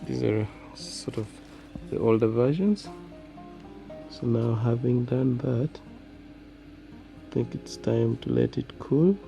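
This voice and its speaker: A man presenting an unscripted repair demonstration, talking in halting, piecemeal phrases as he works. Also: medium pitch, creaky, warm tone, faint music behind. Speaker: phone repair tutorial presenter. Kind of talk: unscripted hands-on demonstration narration.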